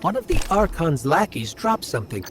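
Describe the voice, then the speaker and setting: Lively, inquisitive robot companion. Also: male voice, on the high side, moderate pace, heavy background noise. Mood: puzzled, curious. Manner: inquisitive, puzzled, thinking aloud. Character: curious, earnest small robot companion. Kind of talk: video game dialogue.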